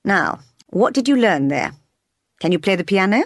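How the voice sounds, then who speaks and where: A woman voicing a stern, brusque gentleman, master of the house, in blunt questions and commands for a novel. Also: medium pitch, expressive, moderate pace, no echo, studio quality, natural voice. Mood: stern, commanding.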